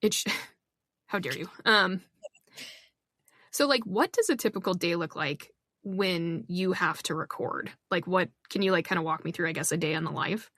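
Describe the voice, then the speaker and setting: A woman's voice: lowish voice, a bit bright, no echo. Woman host, eager interviewer, dry close recording. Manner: enthusiastic, quick reactions. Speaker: podcast host. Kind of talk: casual podcast interview.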